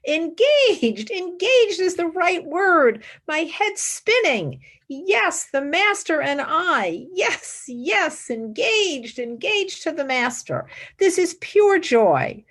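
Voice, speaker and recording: A woman's voice: very high voice, sing-song, takes it slowly, hardly any pauses, a bit bright, heavily acted. An actress playing a fervent young female philosophy student in a radio play, dramatic and intensely devoted. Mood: elated. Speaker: actor.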